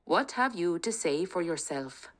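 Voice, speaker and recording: A fierce storybook king, as a woman reading aloud puts him on, pitched lower than her usual voice. He talks slowly and heavily, every word a threat. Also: lively intonation. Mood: menacing. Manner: slow, commanding, threatening. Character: stern, menacing middle-aged human king.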